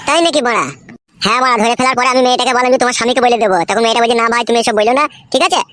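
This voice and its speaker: A man's voice: very high voice, fairly even intonation, racing, a bit bright. A man voicing a brash, foul-mouthed young cartoon villager with a rural West Bengal accent, grumbling in crude comic banter. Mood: scheming banter.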